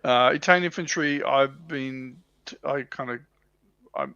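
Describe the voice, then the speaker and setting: A man's voice: medium pitch, measured pace, pushed and bright, clear, resonant tone, dry recording, highs cut off. A man co-hosting a livestream, chatting casually about tabletop miniature wargaming. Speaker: livestream co-host.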